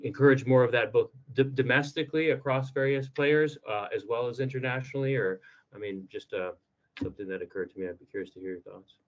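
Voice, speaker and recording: A man's voice: on the low side, lo-fi sound. A man asking a conference presenter a question, tentative and hedging, often correcting himself. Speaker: conference discussant.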